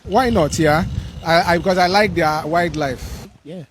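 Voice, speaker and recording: A man's voice: on the high side, measured pace. A man answering street interview questions, reasoning aloud in a thoughtful way.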